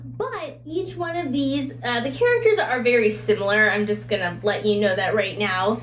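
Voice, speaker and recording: A woman's voice: a little high, normal speed, a bit bright. A woman giving casual, chatty book recommendations in a conversational way, slipping into little acted-out asides. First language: English. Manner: conversational, acts out little imagined quotes and asides. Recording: roomy echo with faint background hum.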